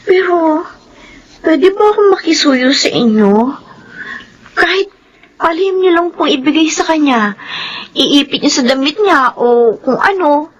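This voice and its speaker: Young female student, high register, prickly yet earnest and lovestruck, Filipino radio drama. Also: lively intonation, unhurried, pauses often, highs cut off. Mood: pleading.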